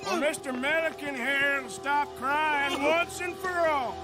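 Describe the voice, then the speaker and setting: An actor playing a gruff, sarcastic middle-aged outlaw gunslinger with a drawl, in a video game cutscene. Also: male voice, very high-pitched, unhurried. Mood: threatening. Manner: grumbling, sarcastic. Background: game score music.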